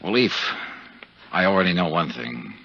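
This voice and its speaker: An actor playing a cool, hard-boiled insurance investigator in a 1950s radio drama, terse and determined. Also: male voice, sits low, lively intonation, normal speed, creaky, breathy edge, exaggerated voice. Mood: curt, suspicious. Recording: dull 1950s radio recording.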